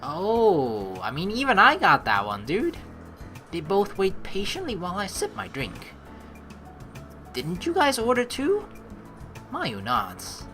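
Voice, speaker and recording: Bright, animated low-pitched woman let's player. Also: takes it slowly, pauses often. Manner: rambling, thinks aloud, swears casually. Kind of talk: casual game commentary, reading on-screen text aloud. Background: game music.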